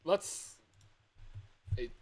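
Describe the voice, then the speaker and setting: A man doing casual livestream commentary on a game, excitable and self-deprecating, quick to laugh at himself. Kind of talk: casual gameplay stream commentary.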